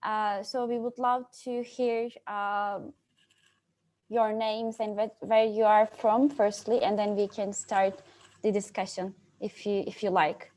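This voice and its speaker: A woman's voice: medium pitch, flat delivery, takes it slowly, a little mellow. A young woman from Turkey moderating an online breakout session, hesitant, with many fillers and restarts. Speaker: youth moderator. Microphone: video call.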